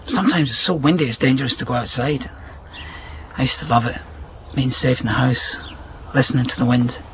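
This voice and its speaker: An actor playing a reserved, gentle, nature-loving man with a Glasgow Scottish accent in a radio drama, plain-spoken and understated. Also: medium pitch, fairly quick, a little hiss or hum, close to an everyday voice. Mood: reminiscing.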